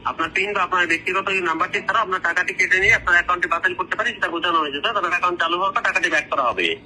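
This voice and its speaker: A man acts out a fake Bangladeshi customer manager, pushy and formal. He talks extremely fast in a high, piercing voice, pressing on with repeated sirs over a slightly noisy phone call. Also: sharp tone. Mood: stern, pressing. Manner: formal, insistent, constantly says sir. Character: fake mobile-banking customer manager, pushy, officious scammer. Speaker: phone caller.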